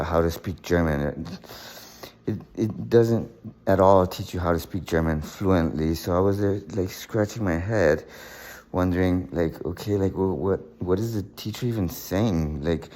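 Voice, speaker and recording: A young man telling his life story in a casual monologue, halting often with fillers and repeated words. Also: low voice. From United States.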